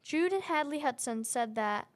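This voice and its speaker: A young woman reporter reading scripted narration in a brisk, even way. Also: sits high.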